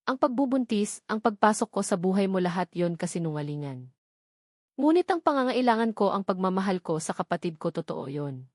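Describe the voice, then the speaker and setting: A woman's voice: medium pitch, very animated, a little fast, a little mellow, dry recording. A humble young woman of an audio love story acted out by a woman narrator, vulnerable and weighed down. She speaks meekly and emotionally, as if confessing. The recording is dry. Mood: tearful, confessing.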